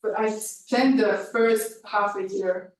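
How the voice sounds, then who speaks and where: A woman whose first language is Hungarian, presenting her research and answering questions, often pausing with fillers and restarting. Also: mid-range voice, fairly even intonation. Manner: hesitant, many fillers and restarts. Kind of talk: academic talk and Q&A. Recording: echoey room, speaker far from mic, faint noise.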